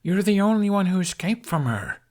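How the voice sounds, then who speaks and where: A man's voice: high voice, animated, moderate pace, darkish tone, voice pushed up. An earnest young male Viking, acted out by an audiobook narrator, caring and stubbornly persistent. He presses his questions with feeling in a fantasy story. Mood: pleading.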